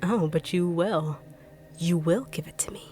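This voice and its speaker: A woman's voice: lowish voice, measured pace, a little hiss or hum, lightly acted. Woman voicing a sneering treacherous bodyguard villain. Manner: taunting, cold, sneering with quiet menace. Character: treacherous female bodyguard turned villain, greedy and mocking. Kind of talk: audio roleplay acting.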